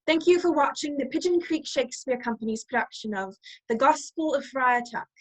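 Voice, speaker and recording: A woman's voice: high-pitched, fairly quick, poor audio. A woman giving scripted narration as the announcer of a radio play.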